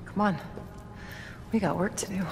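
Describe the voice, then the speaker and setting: A woman's voice: mid-range voice, steady tone, moderate pace, very noisy, natural voice. Cool, guarded woman agent in reverberant space actress. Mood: resolute. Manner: curt, dry, guarded. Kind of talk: video game cutscene acting. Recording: long reverb, loud music behind.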